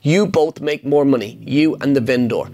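A man's voice: medium pitch, normal speed, creaky, dark tone, slight background noise. A middle-aged man hosting a casual Q&A, answering viewer questions on property investing in an upbeat, emphatic way. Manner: upbeat, emphatic, punchy repetition.

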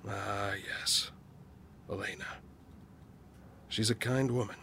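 An actor playing a weary, guilt-ridden, secretive middle-aged father in an audio drama, with halting delivery. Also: on the low side, fairly even intonation, vocal fry, bright, forceful tone, husky, heavily acted. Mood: guarded, reflective. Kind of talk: naturalistic audio drama acting.

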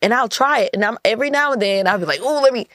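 A woman's voice: on the high side, expressive, fast talker, a bit bright, no echo, clean studio sound. An American woman talking emphatically in a casual interview, often repeating phrases for stress as a podcast guest. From United States. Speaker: podcast guest, entrepreneur and community activist.

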